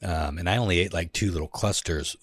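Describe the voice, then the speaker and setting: A man's voice: sits low, fairly quick, breathy edge, no room sound. A man hosting a podcast, chatting casually and swearing freely, from the Pacific Northwest of the US. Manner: relaxed, swearing often. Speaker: podcast host. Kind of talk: casual chat.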